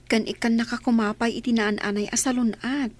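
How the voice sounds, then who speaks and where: A woman's voice: a little high, steady tone, fairly quick, natural voice. A woman acting a chatty, concerned waitress friend in a radio drama, with an encouraging manner.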